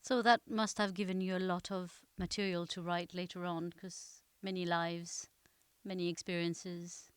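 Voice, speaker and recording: A woman's voice: fairly low pitch, little pitch movement, unhurried, smooth, dry recording. A woman interviewer from a theatre company asking prepared questions. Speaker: interviewer, theatre artistic director.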